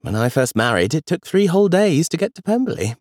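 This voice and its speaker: A man's voice: fairly high pitch, sing-song, fast talker, dry recording, clean studio sound, voice pushed up. Man doing an old grandmother, lilting and fond, storybook. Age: older. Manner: gentle, amused. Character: kind, teasing grandmother, gently witty.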